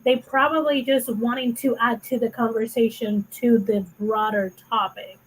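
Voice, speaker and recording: A woman's voice: on the high side, fairly even intonation, moderate pace, a little hiss or hum, rough recording. A woman with a Puerto Rican accent co-hosting a casual online chat, earnest and thoughtful, sometimes searching for words, on a video call. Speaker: co-host.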